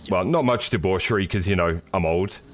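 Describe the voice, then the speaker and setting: A middle-aged man commentating a sim race on a live stream, slightly hoarse, relaxed and joking with many fillers. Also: low voice, fairly quick, slight background noise.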